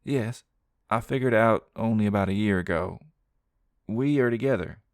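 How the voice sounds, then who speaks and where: A man's voice: normal speed, no echo, clean studio sound, lightly acted. A man voicing a frightened young woman who pleads through tears. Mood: tearful. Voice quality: raised falsetto-like pitch.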